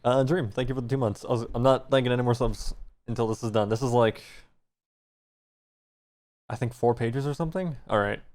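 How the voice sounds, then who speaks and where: A man's voice: medium pitch, fairly even intonation, fairly quick, long pauses, darkish tone. A man streaming, reading fan fiction aloud with some embarrassed amusement.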